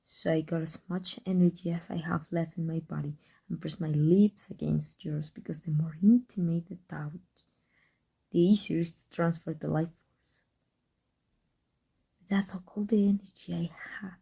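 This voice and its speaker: A woman with a Mexican accent playing a proud, secretly devoted villainess in an intimate ASMR roleplay, halting and emotional. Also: on the low side, steady tone, gravelly, mellow, slightly rough. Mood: hushed, pained confession. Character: proud, flustered, secretly devoted villainess with life-transfer power.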